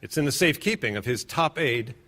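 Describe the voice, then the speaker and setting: An actor playing a careful government lawyer who questions a witness formally. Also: male voice, medium pitch, expressive, a little fast, sharp tone. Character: measured male government prosecutor.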